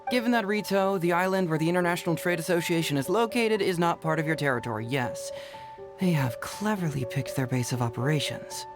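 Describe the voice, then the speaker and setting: A man's voice: fairly high pitch, a little fast, music in the background, close to an everyday voice. A man playing a shrewd, playful young detective in an anime video game, breezy and knowing. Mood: shrewd, assured.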